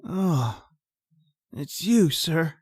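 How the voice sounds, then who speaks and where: A man's voice: fairly high pitch, big pitch swings, drawn-out words, darkish tone, breathy edge, full character voice. A man playing a timid, fearful, deferential manservant in an old-time radio horror drama. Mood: shaken, wary. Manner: anxious, deferential. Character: timid, fearful manservant named John, easily despairing.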